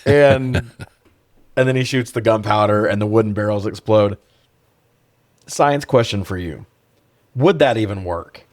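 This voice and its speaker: A man with a fairly low voice, recorded close and dry. He talks like a podcast co-host in loose film banter, slangy and jokey, with swearing thrown in. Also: a little slow, long pauses, dry recording. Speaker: podcast co-host reviewing films. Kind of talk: casual banter, film commentary.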